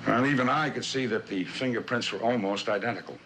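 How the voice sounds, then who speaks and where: An actor playing an earnest male lawyer and steady confidant, deliberate and emphatic, in a vintage television suspense drama. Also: mid-range voice, music in the background, lightly acted, low-quality recording. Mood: grave, insistent.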